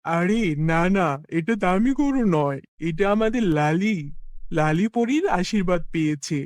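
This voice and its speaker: A man's voice: sits high, expressive, a little slow, a little mellow, no room sound. A man voicing a poor, kind farmer who dotes on his cow, in an earnest, exclamatory cartoon fairy-tale style. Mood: excited, proud.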